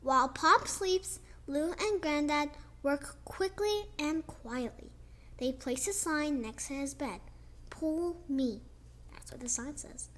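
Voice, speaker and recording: A young girl reading a children's picture book aloud, slowly and expressively, with chatty asides about the pictures. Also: sits high, fairly bright tone. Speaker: child storyteller.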